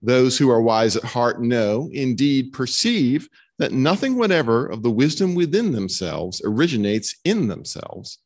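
A middle-aged man reading scripture and doctrine aloud as a minister, formal and measured, at a lectern microphone in a chapel. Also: mid-range voice, very animated. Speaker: minister leading a church service. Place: stone chapel, indoors. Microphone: lectern microphone on a stand.

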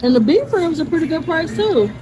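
A woman's voice: very high-pitched, very animated, fairly quick. A woman, chatting to viewers as she shops and reads prices aloud. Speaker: vlogger. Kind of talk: casual shopping vlog. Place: indoor meat store, supermarket aisles. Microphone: phone held by hand, selfie camera. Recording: phone audio with clear store noise.

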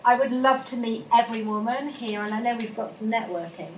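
A woman in the audience asking a question, chatty and quick to laugh. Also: a little high. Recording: distant in an echoey hall with noise.

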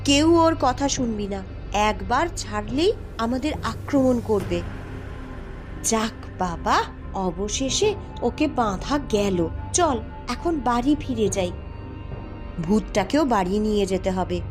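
A high-pitched young princess, voiced by a woman, bold and commanding as an eldest sister. She speaks in slow, firm cartoon delivery with music underneath. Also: lively intonation. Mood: defiant, commanding. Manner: firm, deliberate, instructing. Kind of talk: cartoon voice acting.

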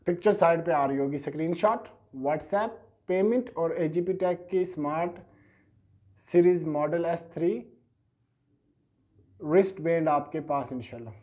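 A man's voice: fairly high pitch, unhurried, long pauses, dark tone. A man in his thirties from Pakistan, casually showing gadgets for sale at a table in a small indoor studio, talking in a chatty, hesitant way on a lapel mic. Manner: chatty, hesitant, repeats fillers and sales catchphrases. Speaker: online gadget seller. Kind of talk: casual product unboxing.

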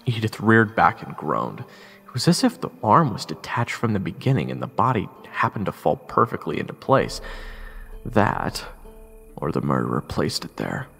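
A man's voice: sits low, very animated, normal speed, vocal fry, fairly bright tone, husky, exaggerated voice. A man playing a curious, compassionate young army private who also narrates a fantasy audio drama. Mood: grave, narrating. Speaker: narrator and lead actor in an audio roleplay. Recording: reverberant, with music underneath.

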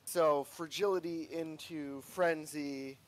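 A man's voice: on the high side, steady tone, slow. A man talking casually as a partner in a co-op game. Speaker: co-op gaming partner. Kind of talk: casual gameplay chat.

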